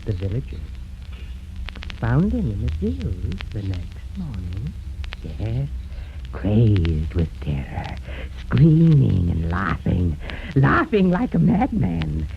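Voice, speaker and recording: A man narrating a horror tale in theatrical, suspenseful 1930s radio style with dramatic pauses, on a muffled vintage recording. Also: fairly low pitch, huge pitch swings, gravelly, a little hiss or hum. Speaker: horror show narrator and host. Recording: muffled 1930s radio, loud music behind.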